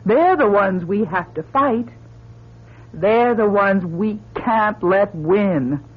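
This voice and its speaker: Emotive space heroine, husky, voice swooping all over, muffled old radio. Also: female voice, mid-range voice, lively intonation, takes it slowly. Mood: urgent pleading. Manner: emotive, urgent. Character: spirited female astronaut, pleading yet firm. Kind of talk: melodramatic radio drama acting.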